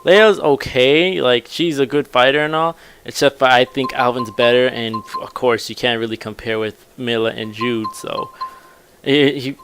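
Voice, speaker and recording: A man's voice: medium pitch, moderate pace, fairly bright tone, faint music behind. A man giving casual let's play commentary, rambling and thinking aloud as he goes. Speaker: gaming video host.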